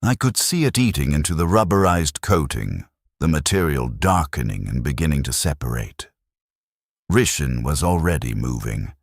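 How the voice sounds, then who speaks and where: A man narrating a scripted first-person war story in a grave, measured way, in short clipped sentences. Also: very deep voice, no room sound, studio quality.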